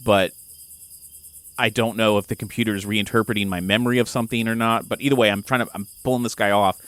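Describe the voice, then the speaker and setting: Man, tabletop player, informal, thinking aloud, smooth clear voice, dry recording. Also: lowish voice, steady tone, quick pace, fairly bright tone, dry recording. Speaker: player in a tabletop role-playing game. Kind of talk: casual improvised game play.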